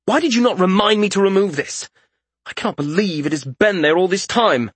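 A man voicing an excitable young nobleman in a read-aloud story. He complains in a raised, lively voice that bounces around, with a dry, close sound. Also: high voice, fairly quick, breathy edge, no echo, pitched above the performer's own voice. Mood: indignant. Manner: indignant, excitable, whiny. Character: brash, hot-headed young nobleman.

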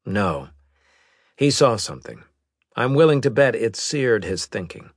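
A man's voice: fairly low pitch, very animated, unhurried, dry recording, studio quality, pitched above the performer's own voice, full character voice. A man playing an authoritative, probing male military leader who talks tersely in a science fiction audiobook. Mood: serious.

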